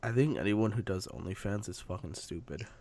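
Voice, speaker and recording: A young man with a fairly low voice joins a podcast chat. He speaks casually and bluntly, full of slang, like someone talking with friends. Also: brisk, a little mellow. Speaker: podcast co-host.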